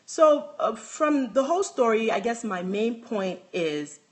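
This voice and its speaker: A woman's voice: on the high side, very animated, a little slow. Young Nigerian-accented woman, casual phone livestream host, chatty, loses her thread and restarts. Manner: chatty, restarts often, reads out comments. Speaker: handbag designer hosting a livestream. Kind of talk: casual livestream chat answering viewer comments.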